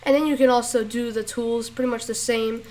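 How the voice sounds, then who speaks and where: A woman with a rough voice giving a casual step-by-step tutorial, halting with fillers and restarts. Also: fairly high pitch, steady tone, darkish tone. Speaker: tutorial creator. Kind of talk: casual screen-recorded tutorial.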